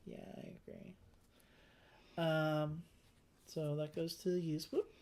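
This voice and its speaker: Mid-pitched voice, casual game-table chat, co-host, thinking aloud. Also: flat delivery, slow pace, a little mellow, slight background noise. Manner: talks through options, thinking aloud. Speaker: co-host of a board game playthrough. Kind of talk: casual chat while playing a board game.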